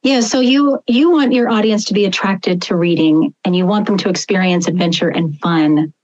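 A woman hosting a podcast interview, asking thoughtful, unhurried questions. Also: fairly low pitch, darkish tone, lo-fi sound. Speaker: interview host.

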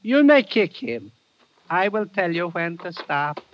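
A man's voice: sits high, sing-song, unhurried. An actor playing a coldly polite, menacing henchman with formal, courteous speech in an old radio drama. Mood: cold, cruel. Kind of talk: 1950s radio drama acting. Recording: dull, noisy old radio recording.

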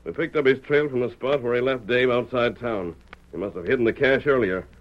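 An actor playing a calm, firm masked cowboy hero in an old-time radio western, speaking with deliberate authority. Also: male voice, mid-range voice, natural voice. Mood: commanding. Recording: muffled vintage radio recording.

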